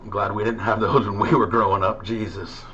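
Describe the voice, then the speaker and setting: A man's voice: on the low side, flat delivery, brisk. A middle-aged American man chatting casually over CB radio in a rambling, hesitant way. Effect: CB radio transmission. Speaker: amateur CB radio operator. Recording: muffled CB radio signal with static noise.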